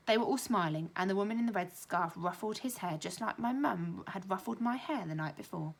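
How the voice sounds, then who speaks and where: A young woman reads a children's novel aloud. Her teacher's storytelling voice is lively and expressive. She lifts it higher and brighter whenever the young characters talk. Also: fairly quick, vocal fry. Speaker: primary school teacher.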